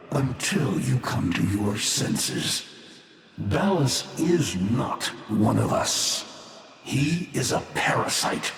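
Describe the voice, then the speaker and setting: A man's voice: mid-range voice, exaggerated voice. A male actor playing an ancient, brooding alien war-being in a video game, grave and scornful, with solemn, portentous delivery drenched in reverb. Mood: stern warning. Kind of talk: theatrical scripted video game voice acting. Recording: cavernous long reverb, faint background noise.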